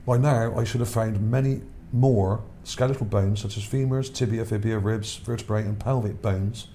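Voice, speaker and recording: A man's voice: on the low side, steady tone, normal speed, mellow, a little hiss or hum. A man whose voice is starting to wear out. He talks over images in a rambling way, repeats words and checks in with "okay?". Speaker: channel host. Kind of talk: casual narration over images, reading his article.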